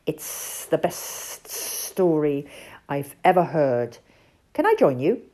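A woman voicing a sly male snake from a storybook, with drawn-out sibilant hissing. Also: low-pitched, big pitch swings, dark tone, exaggerated voice. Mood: sly, wistful.

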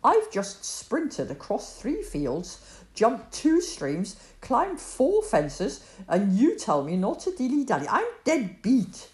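A grumpy, stubborn shepherd, put on by a woman, grumbling and snapping with cross, indignant swings in pitch. Slow and deliberate, heard in an echoey room. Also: wildly swooping pitch, darkish tone, full character voice.

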